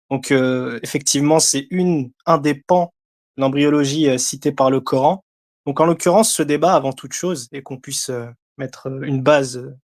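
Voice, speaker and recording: A man's voice: medium pitch, a little mellow. A man giving a debate rebuttal, speaking hesitantly with frequent fillers and reciting verses in between.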